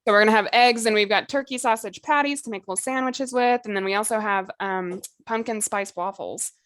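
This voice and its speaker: A woman's voice: medium pitch, a little fast, fairly bright tone, no echo. A woman co-hosting a podcast, explaining things in an informal, chatty way with lots of casual asides. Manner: chatty, informal, peppers talk with "like" and "you know". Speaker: podcast co-host.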